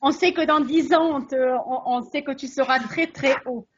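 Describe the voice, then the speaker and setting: A woman's voice: high voice, fairly even intonation, normal speed. A woman hosting an interview with short prompts and questions, on dull webinar audio. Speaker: host, interviewer. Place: online video call.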